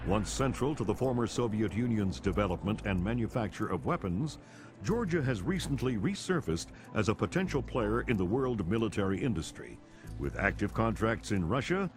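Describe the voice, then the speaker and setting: An actor playing a formal, polished male news anchor reading scripted reports in a measured announcer style. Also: lowish voice, animated, close to an everyday voice. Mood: formal, crisp. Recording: clear music bed behind the voice.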